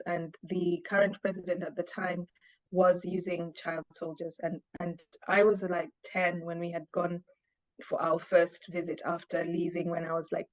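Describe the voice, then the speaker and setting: A woman who grew up in Zambia, from Uganda, answering interview questions in a measured way with frequent pauses and filler words. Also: on the low side, dry recording, highs cut off. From Uganda, raised in Zambia. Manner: measured, many pauses and ums. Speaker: guest, human rights officer. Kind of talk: interview conversation.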